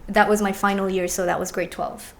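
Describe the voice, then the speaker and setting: A woman in her thirties, a podcast guest, telling stories about growing up in a relaxed, chatty way and laughing easily. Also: medium pitch, a little fast, over a noisy crowd. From born in Egypt, raised in the UAE. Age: 30s. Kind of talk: casual chat.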